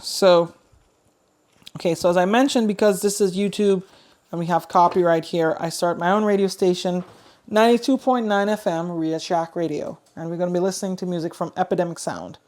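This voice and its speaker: Low-pitched woman chatting through a product review. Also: fairly even intonation, brisk, darkish tone. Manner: chatty, informal, thinking aloud while demonstrating. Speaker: host of a radio review channel. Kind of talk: casual product review vlog.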